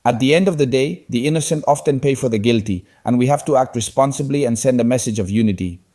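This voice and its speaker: A man's voice: mid-range voice, fast, mellow. A man hosting a casual interview, probing his guest with rhetorical questions. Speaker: host.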